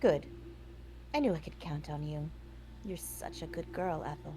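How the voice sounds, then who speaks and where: A woman voicing a mysterious, resolute girl who coaxes and teases softly in a live story reading. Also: deep voice, moderate pace, slight background noise. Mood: coaxing. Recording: music behind the voice.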